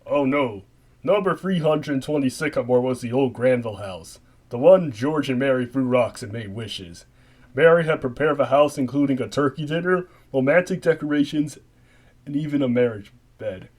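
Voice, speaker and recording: A man's voice: medium pitch, moderate pace, a little mellow, close to an everyday voice. A man playing a folksy, conversational narrator of a puppet holiday tale in a comic radio play. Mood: storytelling.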